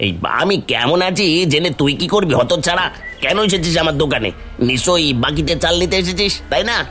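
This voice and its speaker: A man's voice: a little high, fairly quick, a bit bright, faint music behind, close to an everyday voice. A man voicing a stingy, brusque village shopkeeper in a cartoon fairy tale. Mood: hostile. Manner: brusque, complaining. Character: grumpy, stingy male village rice shopkeeper.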